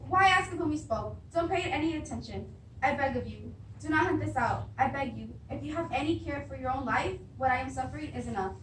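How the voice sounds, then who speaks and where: A woman's voice: high-pitched, a little fast, fairly bright tone. A woman acting an anxious, devoted queen in an amateur stage reading, with formal declamatory delivery. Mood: desperate pleading. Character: anxious queen, devoted wife, pleading against dread. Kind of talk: beginning drama class readers theatre. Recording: echoey room, distant mic, clearly noisy.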